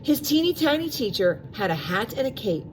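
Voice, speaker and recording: A woman reading a storybook aloud to young children in an expressive, animated way, stretching out sound words and adding asides to the listeners. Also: fairly high pitch, normal speed, a little hiss or hum.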